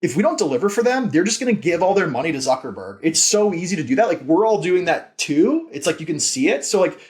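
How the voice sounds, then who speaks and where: A man with a slightly high-pitched voice talks as a podcast guest. He is informal and enthusiastic, explaining things in a casual, emphatic interview style. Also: very animated, quick pace, a little mellow. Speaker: podcast guest, company founder and CEO.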